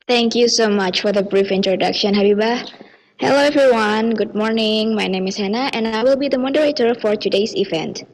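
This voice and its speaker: A woman's voice: a little high, brisk, slight background noise, poor audio. A young woman moderating a webinar over a video call, upbeat and polite.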